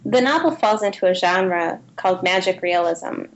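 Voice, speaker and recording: A woman's voice: lowish voice, lively intonation, moderate pace, muffled recording. A woman author who grew up in the United States speaking Ukrainian first, giving a thoughtful interview with frequent restarts. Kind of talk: interview guest.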